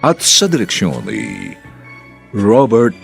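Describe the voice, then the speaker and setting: A man's voice: on the low side, huge pitch swings, slow, creaky, soft background music. A man with a Filipino accent announcing for a radio drama in a formal, theatrical, stately scripted style.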